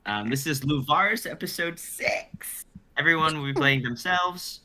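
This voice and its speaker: A man's voice: on the high side, unhurried, bright, forceful tone, a little hiss or hum. A man in his twenties, talking casually and narrating stage directions for an informal script reading. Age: 20s.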